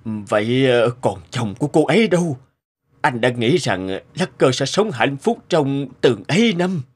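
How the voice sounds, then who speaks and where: A man's voice: medium pitch, big pitch swings, a little slow. An actor playing a hesitant, wistful elderly man in a cartoon. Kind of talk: cartoon dubbing. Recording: faint music behind the voice.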